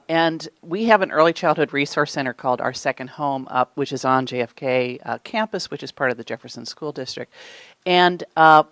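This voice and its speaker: A woman's voice: sits low, dry recording. A woman, an early childhood program coordinator, explaining her team's work to a conference audience in a plain manner with fillers. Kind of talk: answering an audience question. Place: conference room.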